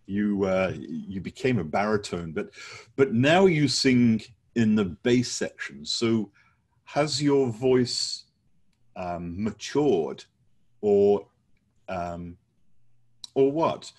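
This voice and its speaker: Husky middle-aged male interviewer. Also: lowish voice, animated, slow, darkish tone. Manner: curious, short follow-up questions. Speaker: interviewer, host. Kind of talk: casual video-call interview. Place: home room lined with bookshelves. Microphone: video call.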